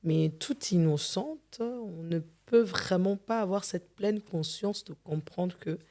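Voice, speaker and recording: This is a middle-aged woman from Congo, a gospel singer sharing her testimony in an interview. She speaks earnestly at a measured pace in a mid-range voice, sometimes circling back over a word before finding the next one. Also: medium pitch, mellow. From Democratic Republic of the Congo. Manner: earnest, measured, repeats words while searching.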